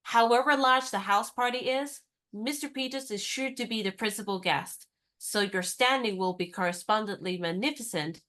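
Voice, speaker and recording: A woman's voice: medium pitch, a bit bright, lightly acted. A woman playing a teasing young lady's maid. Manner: brisk, teasing and knowing. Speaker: actor in radio play. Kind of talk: radio play acting.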